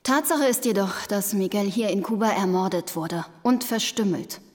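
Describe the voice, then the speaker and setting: An actress playing a cool, guarded young widow in a radio drama, speaking in a terse, aloof way. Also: female voice, mid-range voice, normal speed, a little mellow, natural voice. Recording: long reverberant room sound.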